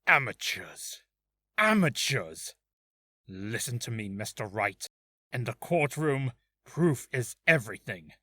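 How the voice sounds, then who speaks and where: A man playing a cold, imperious prosecutor from a video game, measured and contemptuous. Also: medium pitch, sing-song, pushed and bright, dry recording, exaggerated voice. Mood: cold contempt. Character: cold, imperious male prosecutor obsessed with guilty verdicts. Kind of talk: dramatic video game character acting.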